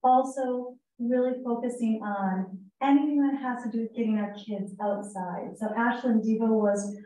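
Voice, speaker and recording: A woman with a raspy, somewhat high and mellow voice speaks at a co-op's annual meeting in an upbeat, informal way. She sits a bit away from the mic, and the room echoes heavily. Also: moderate pace, distant mic. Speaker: co-op staff presenter.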